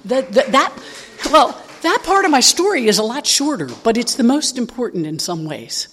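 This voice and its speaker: A woman's voice: fairly high pitch, big pitch swings, moderate pace, a bit bright, a little hiss or hum. Candid woman in her sixties telling her story. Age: 60s. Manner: candid, rambling storytelling with frequent fillers. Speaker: recovery meeting speaker. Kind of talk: personal testimony to an audience.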